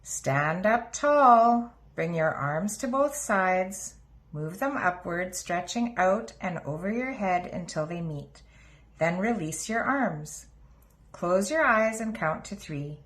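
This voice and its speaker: Expressive woman reading a children's storybook. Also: medium pitch, takes it slowly. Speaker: children's book reader. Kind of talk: read-aloud storybook narration for young children.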